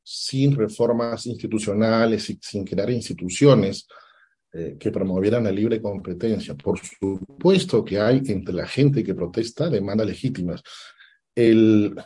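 Dark, husky-voiced man. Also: fairly low pitch. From Peru. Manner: deliberate, stops to search for words. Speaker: political analyst guest. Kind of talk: interview answers.

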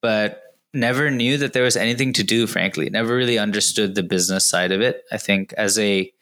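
A man in his thirties, raised in Indonesia, answering interview questions in a relaxed way with frequent fillers and easy laughs. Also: lowish voice, fairly quick. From India, raised in Indonesia. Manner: relaxed, laughs easily, many fillers and restarts. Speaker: guest, gaming executive.

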